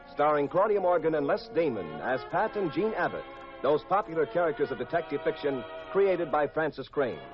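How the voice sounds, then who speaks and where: A male American radio announcer with a slightly high voice. He delivers scripted copy in 1950s broadcast style. Music plays faintly behind him on a muffled old recording.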